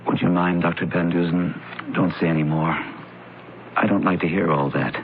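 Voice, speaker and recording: An actor playing a courteous, naive, wounded son in an old-time radio drama. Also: male voice, deep voice, fairly even intonation, moderate pace, creaky. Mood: hurt, weary. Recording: tinny, noisy radio drama recording.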